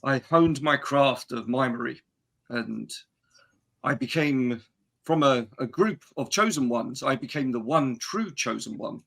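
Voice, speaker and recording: A man playing an absurdly solemn mime adventurer, telling his tale in a deadpan, halting way in a tabletop roleplaying game. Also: mid-range voice, vocal fry, exaggerated voice.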